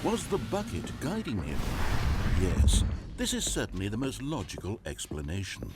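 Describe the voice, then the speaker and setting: An actor playing a wry, theatrical storybook narrator in a video game. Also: male voice, fairly low pitch, huge pitch swings, moderate pace, over loud music. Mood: wry, dramatic. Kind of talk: scripted narration.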